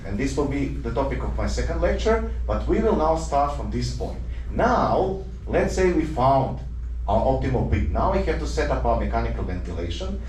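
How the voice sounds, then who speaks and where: A man's voice: medium pitch, a little mellow, slight background noise. A man from Croatia, a doctor giving an earnest conference lecture full of rhetorical questions and small self-corrections. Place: lecture hall. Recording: roomy hall, mic at a distance.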